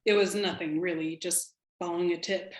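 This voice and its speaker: A woman's voice: on the low side, steady tone, fairly quick, lightly acted. A woman playing a tired, guarded, politely curt police agent in a table read of a screenplay. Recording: roomy, echoing home recording.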